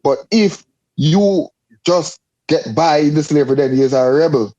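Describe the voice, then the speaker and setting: A man's voice: fairly high pitch, a little slow, dark tone, highs cut off. A man with a Caribbean accent talking casually and emphatically, often repeating his phrases as he explains. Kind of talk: casual discussion.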